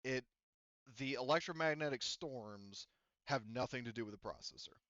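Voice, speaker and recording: A man's voice: medium pitch, normal speed, a bit bright, no echo, highs cut off. A man running a tabletop game as game master, talking in a rambling, casual way with lots of fillers and the odd swear word. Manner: rambling, filler-heavy, profane.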